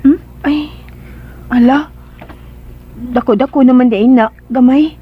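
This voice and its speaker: A woman's voice: high voice, a little slow, breathy edge. A woman playing a meek young household helper in a radio drama, on a dull, noisy recording. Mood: uneasy, probing.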